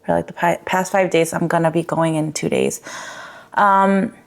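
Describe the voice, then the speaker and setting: Candid young woman, slightly raspy, low-pitched. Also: fairly even intonation, normal speed. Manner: candid, rambling with fillers and likes. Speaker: vlogger. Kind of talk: personal vlog update.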